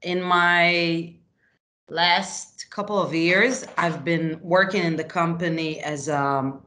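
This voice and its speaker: A woman's voice: low-pitched, a bit bright. A woman from Israel with an Israeli accent, introducing herself informally as a managing director on a panel.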